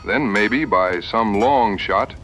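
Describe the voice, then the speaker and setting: A seasoned frontier hunter, acted by a man in an audio drama. His voice sits on the low side with a clear forward edge. He lays things out calmly and with determination. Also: a little hiss or hum. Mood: grave, resolute. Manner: measured, plain-spoken, determined.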